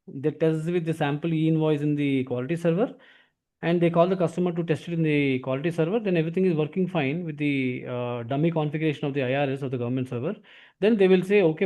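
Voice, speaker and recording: A man with an Indian accent explaining as a software trainer in an online demo class, with repetitive phrasing. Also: on the high side. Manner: repetitive phrasing, often adds "actually".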